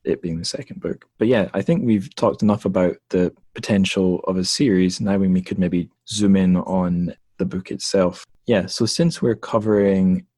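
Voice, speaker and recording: A young man hosts a podcast interview in a friendly, self-deprecating way. His voice is very deep, and it is recorded close and dry, with no room sound. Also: moderate pace, hardly any pauses, mellow, no echo. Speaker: podcast host. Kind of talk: conversational interview.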